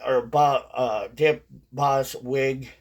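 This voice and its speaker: Man, medium-pitched voice, casual vlogger, unscripted monologue, rambling, circles back over his points. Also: fairly even intonation, very slow. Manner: rambling, repeats and rephrases points. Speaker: video creator on a dipping tobacco channel.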